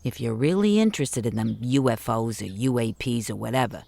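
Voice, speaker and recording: A woman's voice: rumbling low, brisk, vocal fry, warm tone, full character voice. A woman playing a dry, teasing, curious housemate in a radio play, with a wry way of talking. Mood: dry, needling.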